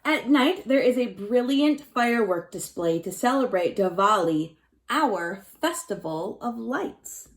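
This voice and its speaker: A woman with a fairly high, very expressive voice gives a read-aloud lesson for young children. She speaks as their teacher, explaining in simple phrases and leaning on key words. Her delivery moves between reading the text and addressing the pupils directly. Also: unhurried.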